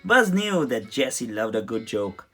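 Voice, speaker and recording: Someone reading a children's story aloud in an expressive, dramatic way. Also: mid-range voice, a little slow. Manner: animated, dramatic delivery. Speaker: storybook reader. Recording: music under the voice. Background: music.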